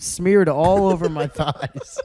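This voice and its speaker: A man co-hosting a casual comedy podcast, speaking in a somewhat high voice. He gets worked up telling his stories and keeps laughing mid-sentence. The chat is loose and rambling. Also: takes it slowly. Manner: excitable, laughs often while telling a story. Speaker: podcast co-host. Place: podcast studio at home.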